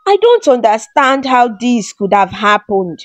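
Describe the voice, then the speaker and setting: A woman voicing a grieving queen whose speech trembles with fear and frustration, in a slow storybook telling. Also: fairly high pitch, big pitch swings, no room sound. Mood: distressed. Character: frightened, grieving queen mother.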